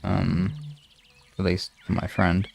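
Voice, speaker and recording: A man with a gravelly, husky voice chatting casually to live-stream listeners in an informal, halting way with some swearing. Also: sits low, darkish tone.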